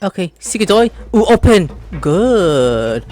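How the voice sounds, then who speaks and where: Excitable, wildly swooping female gamer. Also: mid-range voice, takes it slowly. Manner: excitable, swears freely, jumps into squeals. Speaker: gamer commenting on playthrough. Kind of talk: casual gameplay reaction commentary.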